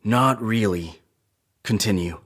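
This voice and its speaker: A man's voice: fairly low pitch, slow, fairly bright tone. A man playing a skeptical, cautious spaceship crew officer in an audio drama, questioning and blunt.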